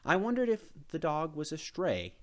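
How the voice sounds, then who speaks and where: A man reading a children's picture book aloud as an expressive storyteller, with measured phrasing and emphasized words. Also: fairly high pitch.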